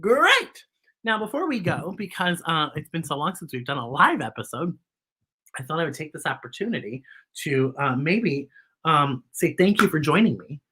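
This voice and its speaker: An American woman hosting a children's story time, reading aloud and chatting in an expressive, encouraging way straight to young viewers. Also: low-pitched, normal speed, husky. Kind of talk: live read-aloud with chatty commentary.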